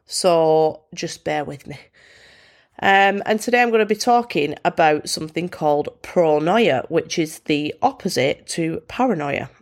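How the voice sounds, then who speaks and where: A woman's voice: low-pitched, lively intonation, unhurried. A British woman hosting a solo podcast, chatting in an upbeat, self-deprecating way with a bunged-up, congested voice. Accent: British English. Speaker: podcast host. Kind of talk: casual solo podcast chat.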